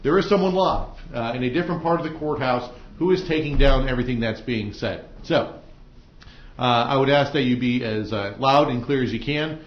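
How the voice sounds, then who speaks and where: A man's voice: medium pitch, moderate pace, a little hiss or hum. Casting: American man as judge, hesitant with many uhs, explaining procedure, echoey and muffled courtroom audio. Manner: hesitant, frequent ums and uhs. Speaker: judge presiding over jury selection. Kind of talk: semi-formal courtroom instructions to jurors. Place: indoor courtroom. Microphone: room microphones in the ceiling. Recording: dull, echoey courtroom microphone pickup.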